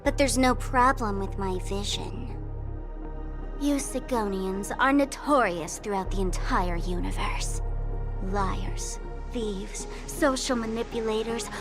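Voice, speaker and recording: An actress playing a sly, taunting young woman trickster in a video game, with a theatrical, teasing delivery. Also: a little high. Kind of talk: video game character acting. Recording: music playing behind the voice.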